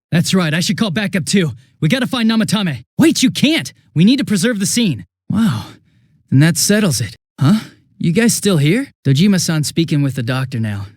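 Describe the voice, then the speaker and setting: A hapless young police detective for a video game. His voice sits fairly high and swings in lively fashion, with a chatty, flustered, rambling delivery. The recording is dry and close. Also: no echo. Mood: urgent. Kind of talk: English video game voice acting.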